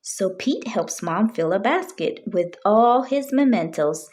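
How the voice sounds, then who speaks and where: Slow, animated woman reading a storybook. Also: medium pitch, husky. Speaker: children's storybook reader. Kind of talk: read-aloud storytelling for young children.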